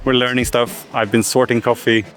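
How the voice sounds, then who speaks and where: A man's voice: medium pitch, level tone, fairly quick, a bit bright. A man narrating his filmmaking vlog with enthusiasm. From Sweden. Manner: enthusiastic, reflective. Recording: music playing underneath.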